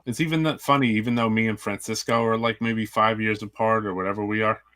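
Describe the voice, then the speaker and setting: A man's voice: on the low side, steady tone, fast, fairly bright tone. A man in his fifties hosting a casual podcast chat, easygoing and full of filler "like.". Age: 50s.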